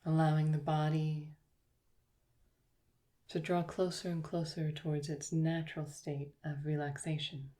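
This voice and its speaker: A woman yoga teacher guiding a yoga nidra relaxation, speaking slowly and softly with long pauses. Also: low-pitched, little pitch movement. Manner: slow, soft, soothing guidance with long pauses.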